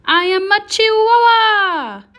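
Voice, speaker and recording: A woman's voice: very high voice, expressive, slow, a bit bright, faint music behind, voice pushed up, exaggerated voice. A woman voicing a boisterous boy kitten who plays at being a Chihuahua hero, boastful and singsong, with a mock Spanish accent. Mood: excited.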